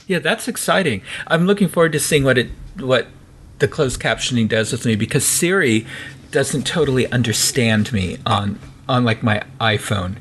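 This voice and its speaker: A man's voice: mid-range voice, lively intonation, normal speed, fairly bright tone, slight background noise. American guy cohosting a podcast, chatty, keeps restarting his sentences. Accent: General American. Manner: chatty, with frequent restarts and fillers. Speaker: podcast co-host. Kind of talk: casual podcast chat.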